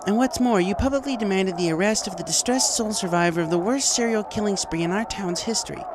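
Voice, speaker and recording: Regal, stern female pony ruler acted by a man, raised pitch, formal and measured, storybook reading, noisy recording. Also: close to an everyday voice. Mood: calm, stern. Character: regal female pony princess, calm yet firm.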